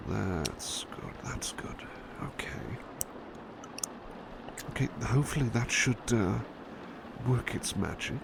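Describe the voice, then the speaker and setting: A man playing a devoted, gentle butler in a soothing ASMR roleplay, hesitant and polite, fussing and repeating himself reassuringly. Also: fairly low pitch, lively intonation, slow, vocal fry, long pauses, heavily acted. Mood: attentive, reassuring.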